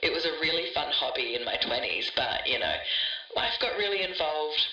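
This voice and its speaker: A woman's voice: low-pitched, lively intonation, normal speed, creaky, pushed and bright. A woman in her forties reminiscing in a muffled, echoey, distant voice note. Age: 40s. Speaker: podcast contributor, computer programmer.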